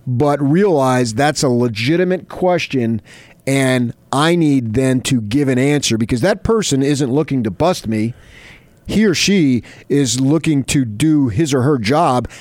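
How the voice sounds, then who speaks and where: A man's voice: medium pitch, dry recording. A male sports radio co-host, teasing and theatrically scolding his partner in banter.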